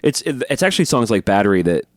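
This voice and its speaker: Quick chatty guy cohosting a podcast, reads out emails, slightly low voice, dry mic. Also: very animated, no room sound. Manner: quick, chatty, jumps in over the other host. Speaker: podcast co-host. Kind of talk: casual chat, reading listener emails.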